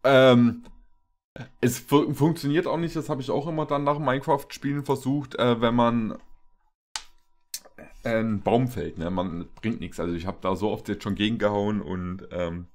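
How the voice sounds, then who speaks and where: A young man hosting a reaction video, rambling casually in a halting, repetitive, jokey way. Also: mid-range voice, a little mellow. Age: young adult. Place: small indoor room with shelves.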